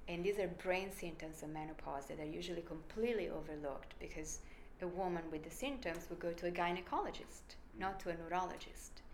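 A woman's voice: on the low side, a little fast, few pauses, echoey room, a little hiss or hum. A woman with an Italian accent, a researcher explaining her work in an emphatic, conversational interview. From Italy. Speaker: brain researcher guest.

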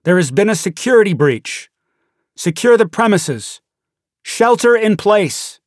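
A man's voice: high-pitched, big pitch swings, measured pace, no room sound, clean studio sound, pitched above the performer's own voice. An American man voicing an urgent official who gives a commanding emergency alert in clipped phrases, in an audiobook.

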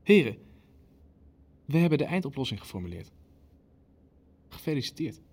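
A man playing a self-assured, coldly formal Nazi chairman in a Dutch radio play, speaking in measured phrases. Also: mid-range voice, big pitch swings, a little mellow, slightly rough. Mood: formal, satisfied. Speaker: meeting chairman.

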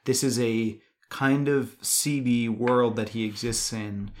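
A man with a mid-pitched voice speaks as a podcast co-host in a casual film discussion. He reasons things out analytically and drops swear words as he goes. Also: fairly even intonation, slow pace.

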